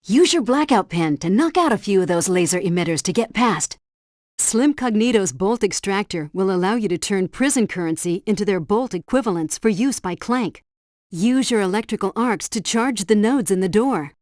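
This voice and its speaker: A woman's voice: mid-range voice, animated, moderate pace, a little mellow, no echo, clean studio sound, lightly acted. A woman playing a composed, helpful spy agency helpdesk operator in a video game, giving scripted instructions in a precise briefing style. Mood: composed, instructive. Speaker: mission helpdesk guide. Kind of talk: scripted game tutorial narration.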